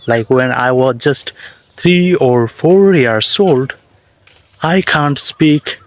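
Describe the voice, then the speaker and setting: Young Bangladeshi man, English teacher type, very slow, halting delivery, talking outside with crickets behind him. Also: medium pitch. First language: Bengali. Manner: halting short phrases with pauses. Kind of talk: slow unscripted English lesson. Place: outdoors in the countryside.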